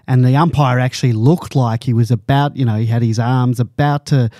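An Australian man with a mellow, warm voice hosts a podcast in casual chat. He is laid-back and chuckles often. The sound is dry and close. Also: mid-range voice, steady tone, brisk, no echo. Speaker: podcast host.

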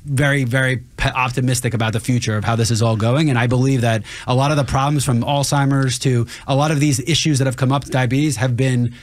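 A man's voice: medium pitch, steady tone, quick pace, few pauses. A man co-hosting a radio talk show in a studio, playful and self-deprecating, often cutting in. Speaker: co-host. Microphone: studio boom mic.

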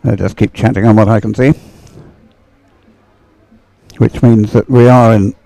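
A man with a low, mellow voice commentates live on sport. He talks in a chatty, unhurried way, often halting as he thinks aloud. The sound is dry and close. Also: steady tone, vocal fry, no room sound, poor audio.

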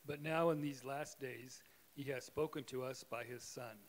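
Man giving even liturgical responses. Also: mid-range voice, normal speed, poor audio. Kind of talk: spoken congregational responses.

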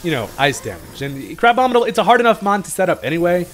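Chill guy cursing casually while walking through his game match, voice kinda rough from being sick, faint music under it. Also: sits high, sing-song, quick pace, fairly bright tone. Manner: laid-back, jokey, swears casually. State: recovering from illness, voice slightly off. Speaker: gaming commentator. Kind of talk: casual gameplay commentary.

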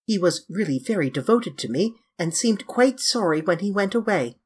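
A male narrator plays a languid, petulant young gentleman in a storybook reading. The voice is lifted high and swings freely in pitch, with an airy, affected, dismissive manner. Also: expressive, moderate pace, slightly rough.

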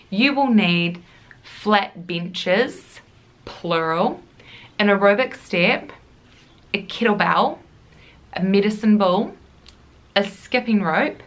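Chatty New woman vlogger. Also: mid-range voice. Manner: chatty, upbeat, addresses viewers directly. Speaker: fitness vlogger. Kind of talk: casual talking-to-camera vlog. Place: living room at home.

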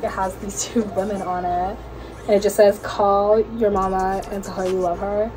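A woman's voice: medium pitch, background noise. Young woman vlogger, casual and upbeat, close camera in a small bedroom, everyday mid-range voice. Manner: chatty, upbeat, fillers like "like" and "honestly". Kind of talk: casual story-time chat to camera.

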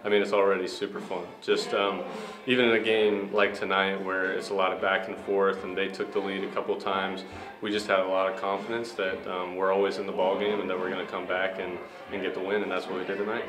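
A man's voice: deep voice, level tone, fairly quick. A young man, a baseball player, answering post-game interview questions in an upbeat, humble way with many fillers. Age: young adult. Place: ballpark media room in front of a sponsor backdrop. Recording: echoey room with music behind. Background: music playing in the clubhouse.

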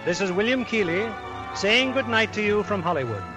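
A polished, genial man hosts an old-time radio show in a rather high voice. He reads his scripted lines smoothly, as on a 1940s broadcast, with faint music underneath. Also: expressive, a little fast. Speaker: radio show host and director.

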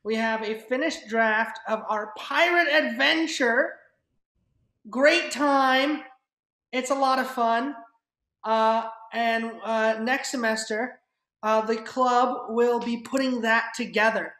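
Young woman giving club news, highish voice, echoey room on a call. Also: takes it slowly, a bit bright. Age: young adult. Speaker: head writer of student audio drama club. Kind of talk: informal announcement and invitation. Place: remote video call indoors.

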